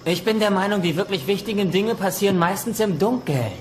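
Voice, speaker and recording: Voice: medium pitch, brisk, slight background noise, natural voice. An actor voicing a sceptical, smugly condescending dinosaur in a cartoon dub. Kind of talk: cartoon dubbing.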